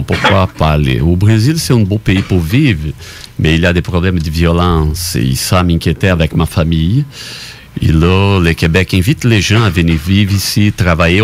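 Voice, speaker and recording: Deep-voiced Brazilian man, earnest guest. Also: slight background noise. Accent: Brazilian Portuguese. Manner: earnest, slightly non-native phrasing. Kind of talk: interview answers.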